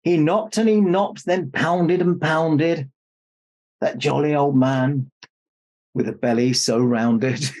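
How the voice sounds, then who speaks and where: A man's voice: on the high side, slow, a little mellow. A man playing Santa Claus, a jolly old man reading a children's storybook aloud with jokey, mock-indignant asides. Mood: storytelling.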